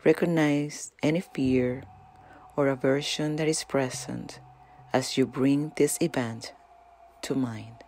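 A meditation guide narrating a guided practice in a gentle, deliberate, instructional manner. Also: medium pitch, fairly even intonation, slight background noise. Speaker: meditation guide, channel host. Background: loud flute meditation music.

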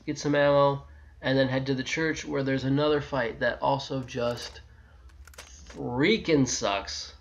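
A man's voice: mid-range voice, a bit bright, dull audio. A man giving a casual, sweary, exasperated video game walkthrough commentary.

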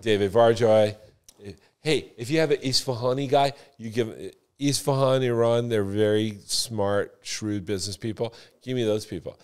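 Low-pitched man, assertive storyteller. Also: normal speed, darkish tone, clean tone. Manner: assertive, emphatic, repeats himself, swears casually. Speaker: former car salesman and floor manager, podcast talker. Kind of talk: casual podcast banter and sales stories.

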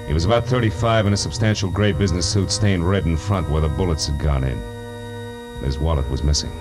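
A man playing a world-weary American private detective, narrating in terse, wry 1940s radio noir style. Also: rumbling low. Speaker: narrator and lead. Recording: vintage 1940s radio recording with music.

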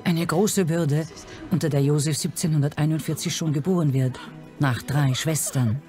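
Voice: medium pitch, moderate pace, a little mellow, faint music behind. A narrator reading a scripted historical documentary.

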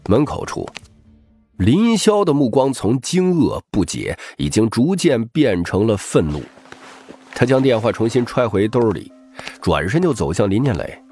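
A man's voice: medium pitch, very animated, measured pace, mellow, husky, faint music behind. A man narrating scripted fiction as a dramatic audiobook reader.

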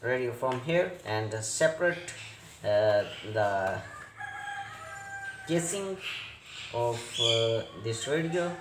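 Slow, halting man in an echoey room. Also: medium pitch, expressive. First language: not English. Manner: halting, short phrases with many hesitations. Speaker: repair tutorial presenter. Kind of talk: hands-on repair tutorial narration. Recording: roomy echo with clear background noise.

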